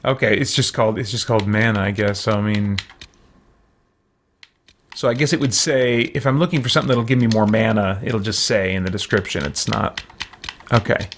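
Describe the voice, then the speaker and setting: A man's voice: lowish voice, fairly even intonation, a little fast, a bit bright, a little hiss or hum. Musing man thinking aloud while gaming. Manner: musing, tentative, talks to himself. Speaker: gamer hosting a let's play. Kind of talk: casual game commentary, thinking aloud.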